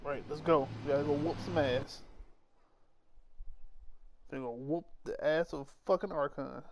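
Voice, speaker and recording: A woman's voice: low-pitched, moderate pace, slight background noise. A woman streaming a game with casual live commentary, playful and slangy as she reacts aloud. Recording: loud game audio behind voice.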